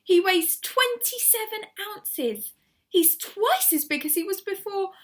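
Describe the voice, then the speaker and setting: A woman voicing an excitable, adoring lady tortoise owner in an exclaiming, effusive way for a children's story. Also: very high-pitched, slow, pitched above the performer's own voice, exaggerated voice. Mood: overjoyed.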